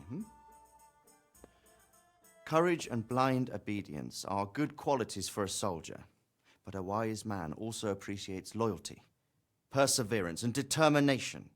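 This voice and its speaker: A man playing a fair-minded, dignified lord in a video game, speaking with measured authority and wry patience. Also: medium pitch. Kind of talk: video game cutscene acting.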